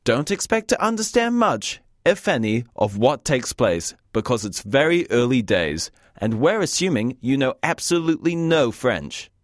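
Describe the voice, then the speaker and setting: Lively British man hosting a studio lesson, joking, dry mic. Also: mid-range voice, moderate pace, hardly any pauses, dry recording. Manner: wry, joking teacher. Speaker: language course host. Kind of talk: scripted lesson narration.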